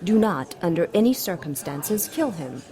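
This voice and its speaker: A cold, amused demon queen who talks down to humans in a lowish, unhurried voice. She mocks slowly and sounds sure of her power. A murmur of crowd noise runs behind her in this audio drama. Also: very animated. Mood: commanding. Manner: haughty, slow and mocking.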